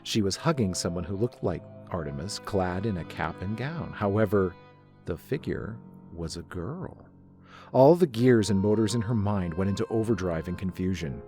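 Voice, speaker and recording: A man's voice: low voice, lively intonation, normal speed, dark tone, soft background music. A man reading scripted third-person story narration as the narrator.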